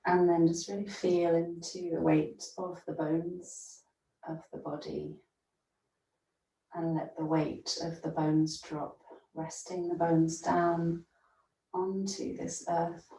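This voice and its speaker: A mellow woman's voice, recorded in a roomy, echoing space, guides a meditation. She speaks very slowly and gently, leaving long pauses as a yoga nidra teacher does. Her delivery stays soothing and very even. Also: on the low side. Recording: roomy echo, distant from mic.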